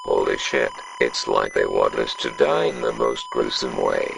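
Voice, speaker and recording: A man playing a terrified, doomed victim of a monster attack in a horror scenario, with a gravelly voice. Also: a bass voice, normal speed, full character voice. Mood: despairing, terrified. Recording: distant voice with music behind.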